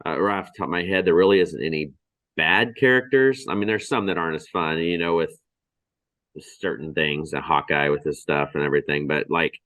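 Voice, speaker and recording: Deep-voiced man, halting casual talk. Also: fairly bright tone, no echo. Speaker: board game show host. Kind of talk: casual chat.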